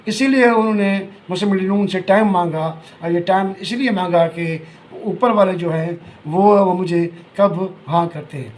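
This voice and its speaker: A man with a Pakistani accent giving informal political analysis to viewers as a commentator, in a halting delivery that often repeats phrases. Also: high-pitched.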